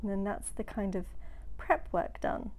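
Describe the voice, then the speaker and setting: Woman, nasal with a cold, mellow voice, chatty baking voice-over, soft whispered asides. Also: medium pitch, lively intonation, a little slow. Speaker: home baker.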